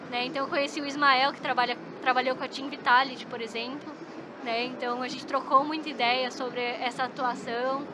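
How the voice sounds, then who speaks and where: A woman's voice: high voice, background noise. A Brazilian woman, an esports psychologist, chatting as a podcast guest and often tagging her sentences with little questions. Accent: Brazilian Portuguese. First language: Portuguese. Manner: chatty, frequent "né?" tag questions. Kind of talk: casual podcast conversation.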